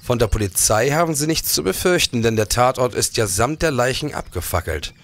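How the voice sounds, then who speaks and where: A man acting an assured police detective, medium-pitched. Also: expressive, a bit bright. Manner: assured, deliberate. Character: resolute, shrewd police detective in 1870s Germany. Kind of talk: German period crime audio drama.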